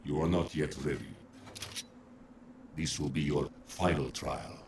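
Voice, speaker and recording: A man playing an imposing, confident war machine in a video game, with a terse, deliberate delivery. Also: rumbling low, fairly even intonation, noisy background. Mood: ominous. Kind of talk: scripted video game acting.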